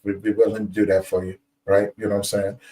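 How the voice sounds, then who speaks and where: Male host, effusive and chatty, dark warm timbre, distant recording. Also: lowish voice, level tone, fast talker. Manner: upbeat and effusive, repeats "guys" and "you know". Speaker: podcast host. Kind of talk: casual interview chat.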